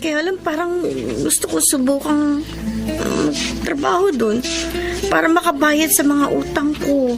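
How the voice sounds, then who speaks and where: A young orphaned woman with a high, plaintive voice. She is grieving yet determined, and speaks earnestly in radio-drama style, with music clearly behind her. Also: measured pace, pauses often. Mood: earnest, hopeful. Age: young adult. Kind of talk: Tagalog radio drama acting.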